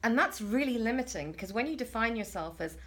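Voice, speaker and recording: A woman's voice: fairly high pitch, animated, fast, a bit bright. A middle-aged woman hosting a reflective conversation, talking hesitantly with many restarts and fillers. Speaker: interview host.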